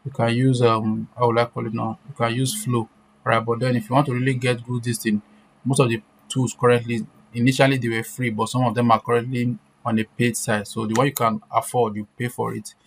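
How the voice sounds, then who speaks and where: A woman presenting an informal screen-share software tutorial, repeating herself and often checking that listeners are following. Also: extremely low pitch, little pitch movement, a little fast, a little hiss or hum, low-quality recording.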